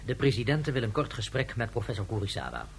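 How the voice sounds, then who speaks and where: An actor playing a formal, earnest official in a science-fiction radio drama. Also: male voice, medium pitch, very animated, fast, slight background noise. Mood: grave, apologetic. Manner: formal, earnest, rhetorical questioning. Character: grave, formal, measured official on a space station. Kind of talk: Dutch radio drama acting.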